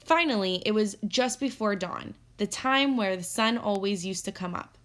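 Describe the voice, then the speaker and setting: A woman teacher reading a story aloud for children, expressive and instructive, pausing to pose guiding questions. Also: medium pitch. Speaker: elementary teacher.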